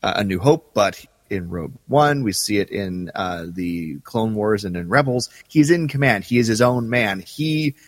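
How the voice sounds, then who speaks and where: A man co-hosting a podcast in casual discussion, halting as he goes and repeating words for emphasis. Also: lowish voice, expressive, no room sound, big-room sound. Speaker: podcast co-host.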